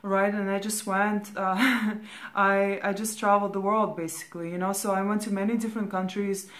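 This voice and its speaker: A woman in her thirties, originally from Latvia, talks through spiritual advice in a loose, rambling monologue at a middling pitch. She keeps checking in with her listener, tacking "right?" or "okay?" onto almost every phrase and restarting sentences along the way. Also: level tone, moderate pace, a bit bright. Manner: rambling, with many fillers and restarts. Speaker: spiritual vlogger.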